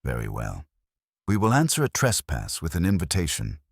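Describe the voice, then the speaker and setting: Imperious older male sleuth read by a man for detective audiobook, bottomless low voice, deliberate and formal, dry sound. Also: huge pitch swings, vocal fry, breathy edge, no echo, full character voice. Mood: calm, calculating. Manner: formal, commanding, deliberate wording.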